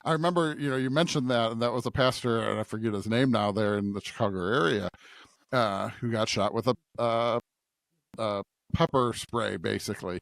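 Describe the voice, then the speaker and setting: A middle-aged man talks over scripture as a podcast co-host, with a medium-pitched voice in a very dry, close recording. He feels his way through his points haltingly and reflectively, often seeking agreement as he goes. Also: gravelly, dry recording. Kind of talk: conversational scripture discussion.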